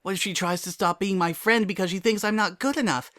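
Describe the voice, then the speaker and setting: A man's voice: high-pitched, a little fast, fairly bright tone, dry recording, clean studio sound, pitched above the performer's own voice. A man voicing a young female pony scholar, earnest and fretful with careful diction, in a dramatic story reading. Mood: anxious, self-blaming. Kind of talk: dramatic fan fiction reading.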